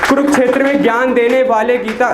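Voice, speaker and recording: A teenage man from India reciting poems at an open mic, declaiming each line and dropping low on a repeated refrain. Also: steady tone, a little fast, loud music behind the voice. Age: teens. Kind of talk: reciting Hindi poetry. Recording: echoey room with faint noise.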